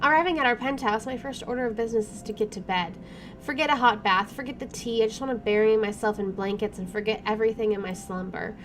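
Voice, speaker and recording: A woman hosting a let's play, reading game narration aloud and adding casual, expressive commentary. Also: a little high, fast talker. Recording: clearly audible background noise.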